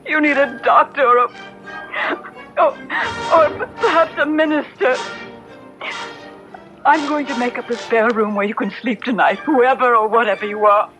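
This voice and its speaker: An actress playing an anxious, indignant middle-aged wife in an old-time radio drama, speaking in a prim, emphatic way. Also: female voice, on the high side, measured pace, pauses often, lightly acted. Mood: indignant fear. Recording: dull vintage radio broadcast with music.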